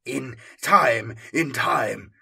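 Guy doing a creepy frail old magus, cunning, harsh quavery raspy voice, slow, audiobook villain. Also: medium pitch, lively intonation, sharp tone, heavily acted. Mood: cautious, placating. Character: frail, cunning old male sorcerer-scholar, avaricious.

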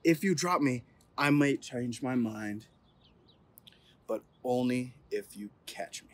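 A man's voice: mid-range voice, slow pace, slight background noise. A young man reading a children's picture book aloud outdoors in a playful, theatrical way with emphatic build-ups. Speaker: police officer reading at storytime. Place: outdoors on an open terrace.

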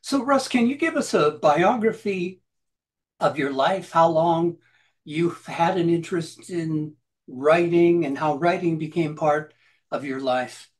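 A man in his sixties hosting an interview in a measured way over a video call on a headset. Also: fairly high pitch. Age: older, 60s. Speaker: host interviewer. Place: home room, on a video call.

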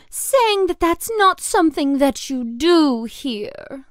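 An actress plays a spoiled young heiress in a voiced visual novel. Her voice is high, bright and very animated, with a haughty, condescending edge. The recording is close and dry. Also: dry recording, full character voice. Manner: imperious, condescending.

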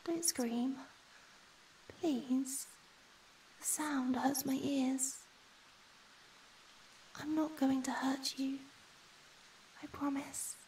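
A woman voicing a shy, gentle young ghost girl in a soft, breathy, often whispered ASMR roleplay, speaking hesitantly and tenderly. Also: high voice, fairly even intonation, very slow, pauses often, recorded from a distance, slight background noise, rough recording. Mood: timid, pleading.

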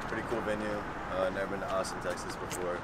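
Low-voiced young man losing his voice. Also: little pitch movement, fast talker. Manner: loose, joking, laid-back. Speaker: touring rapper vlogging. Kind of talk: casual tour vlog chat. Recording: loud background noise.

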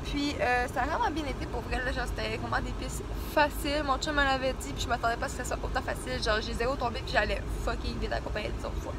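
Young woman, Quebec French accent, rambling vlog chat, fairly high voice, faint background noise, handheld camera at arm's length. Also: steady tone, quick pace, hardly any pauses. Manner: chatty, rambling, lots of fillers. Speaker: vlogger. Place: small apartment indoors.

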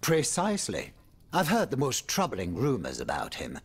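Urbane French aristocrat, husky voice, animated swooping pitch, courtly, wry, world-weary, video game drama. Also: male voice, normal speed. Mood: troubled, confiding. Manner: theatrical, courtly, swinging between exclamation and confiding murmur.

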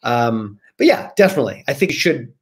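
Man, forties, medium pitch, casual author interview, animated, emphatic repetition, home video call. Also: fairly quick, slightly rough. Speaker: guest author. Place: small room at home, indoors. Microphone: video call, computer microphone.

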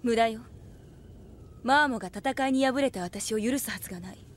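A woman's voice: a little high, moderate pace, natural voice. A woman playing a desperate, emotional young village woman in an anime audio drama. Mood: despairing.

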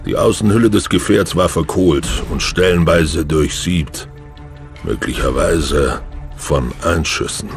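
A man's voice: extremely low pitch, unhurried. A man narrating a sci-fi audio drama as a grave storyteller. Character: grave male storyteller of a space adventure. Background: music.

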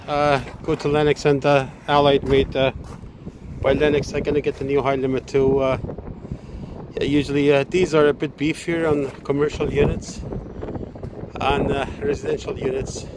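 A man working as an HVAC technician, narrating a casual work video outdoors on a commercial rooftop into a handheld phone, talking haltingly with lots of "uh" fillers. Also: medium pitch. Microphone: handheld phone camera. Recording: wind noise on the mic.

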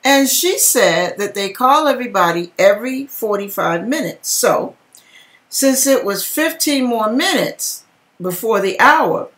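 A woman's voice: fairly high pitch, lively intonation, a little slow, a bit bright. A middle-aged woman giving a dramatic reading with casual narration, talking emphatically with conversational asides to the viewer. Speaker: video host reading a viewer's dream. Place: home kitchen indoors.

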